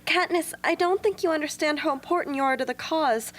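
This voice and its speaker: Woman playing high-voiced, gentle little sister. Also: fairly quick. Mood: earnest, reassuring. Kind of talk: amateur radio drama acting.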